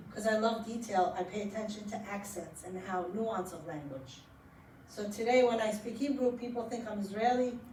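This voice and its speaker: Iran-born woman author, lively storyteller. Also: mid-range voice. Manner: animated storyteller. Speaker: memoir author. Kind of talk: answering audience questions. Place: library event hall. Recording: roomy, distant with faint noise.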